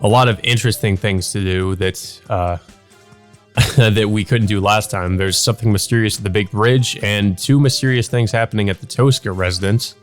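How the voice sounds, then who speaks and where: Low-voiced man doing a let's play. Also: steady tone, moderate pace, faint music behind. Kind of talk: reading visual novel aloud with casual commentary.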